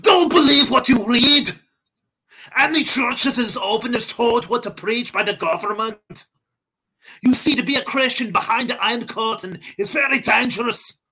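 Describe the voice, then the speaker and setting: A man's voice: extremely high pitch, moderate pace, sharp tone, slightly rough, pitched above the performer's own voice, exaggerated voice, poor audio. A man voicing an earnest, urgent foreign doctor from a religious comic in a hammy mock German accent. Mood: urgent warning.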